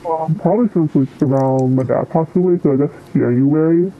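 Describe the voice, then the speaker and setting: A teenage guest answering interview questions hesitantly, with many ums and likes. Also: male voice, a little high, fairly quick. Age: teens. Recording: tinny phone-like line with faint noise.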